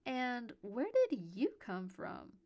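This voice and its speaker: A playful young woman acted by a female narrator talks in a gentle, indulgent way, as in a storybook romance. Her words come slowly, with a creaky edge. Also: a little high, lively intonation.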